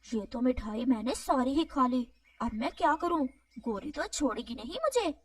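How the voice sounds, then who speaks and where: Woman voicing a high-pitched sly cartoon crow. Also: lively intonation, moderate pace, breathy edge, no room sound, far from the mic. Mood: scheming. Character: sly lying male crow, a greedy trickster. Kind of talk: animated children's moral story dialogue.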